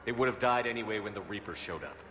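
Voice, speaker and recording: An actor playing a steady, resolute military commander in a video game, with a firm, terse delivery. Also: male voice, lowish voice, quick pace, a little hiss or hum. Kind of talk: video game drama acting.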